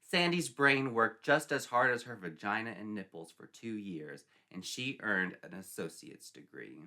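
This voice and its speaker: A man's voice: on the low side, lively intonation, a little slow, pushed and bright. A man narrating a scripted story dramatically, in a theatrical, mock-serious manner.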